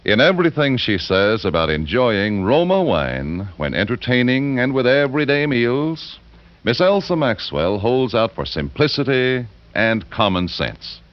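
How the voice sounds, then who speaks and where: Animated vintage male radio pitchman. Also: unhurried. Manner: polished, emphatic salesman delivery. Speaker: sponsor's commercial announcer. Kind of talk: scripted 1940s radio advertising. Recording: dull 1940s radio broadcast.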